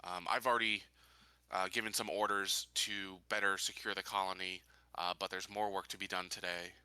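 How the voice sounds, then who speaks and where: A man improvising a measured, businesslike colony security leader in a sci-fi tabletop roleplaying game, choosing words deliberately. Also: low-pitched, little pitch movement, pushed and bright, clean tone, no echo. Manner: deliberate, measured, pausing to think.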